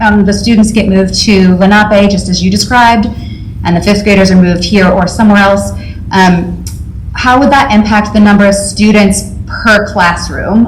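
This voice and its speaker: Woman, public-comment speaker, hesitant and rambling, roomy hall sound. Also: medium pitch. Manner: hesitant, many fillers and restarts. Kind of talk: public comment at a school board meeting. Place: meeting room. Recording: roomy meeting hall audio, faint noise.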